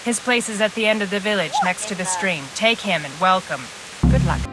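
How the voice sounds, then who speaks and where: A woman's voice: mid-range voice, fairly quick, over loud music, lightly acted, poor audio. An actress playing a blunt, helpful medieval village woman in a video game. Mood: wry. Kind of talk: video game dialogue acting. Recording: clear hiss behind voice.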